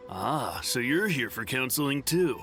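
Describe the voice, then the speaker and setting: A man's voice: mid-range voice, big pitch swings, unhurried, over music. An actor playing a fervent, touchy male follower of a guru in a video game, speaking with zeal. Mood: curt.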